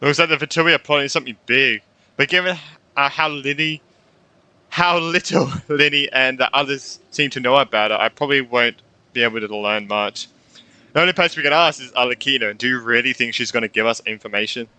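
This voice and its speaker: A male game streamer with a very bright, clear, mid-pitched voice. He comments casually as he plays, thinking aloud and tossing in offhand reactions. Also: brisk, no echo. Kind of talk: casual play-along commentary.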